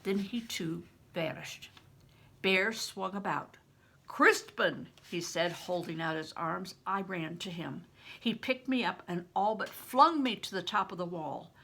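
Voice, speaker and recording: An older woman reading a children's novel aloud as a storyteller, with an expressive, dramatic and emphatic delivery. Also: lowish voice, gravelly. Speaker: retired reading teacher, storyteller.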